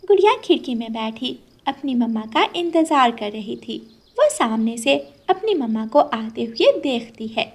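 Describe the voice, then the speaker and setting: High-pitched woman narrating a children's story. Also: lively intonation, normal speed, darkish tone, breathy edge.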